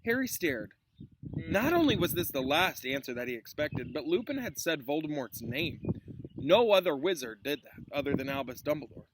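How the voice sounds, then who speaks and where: Man, teacher, storybook read-aloud, animated pitch, informal asides, outdoor recording with clear background noise. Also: moderate pace, rough recording. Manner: informal, explanatory asides to third graders. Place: outdoors in a chilly llama pasture.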